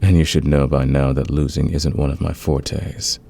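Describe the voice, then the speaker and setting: A man playing a smitten, dominant fantasy villain in a romantic ASMR roleplay, speaking in an intimate, teasing, confident way with a gravelly, husky voice. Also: extremely low pitch, little pitch movement, normal speed, warm tone, a little hiss or hum. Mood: confessional, seductive. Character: smitten male fantasy villain, patient, dominant, smoothly seductive.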